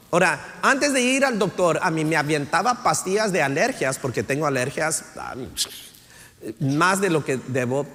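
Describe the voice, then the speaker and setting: A man's voice: mid-range voice, fairly bright tone. A man, preaching a sermon as pastor, mixing in English words and asking "right?" to check in with his listeners. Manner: switches between Spanish and English, says "right?" often; preaching with rising emphasis, tells stories. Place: church sanctuary. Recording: church hall reverb.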